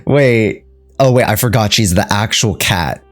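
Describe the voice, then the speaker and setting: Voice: medium pitch, unhurried. A game streamer giving casual, slangy playthrough commentary and reacting to the scenes as they play.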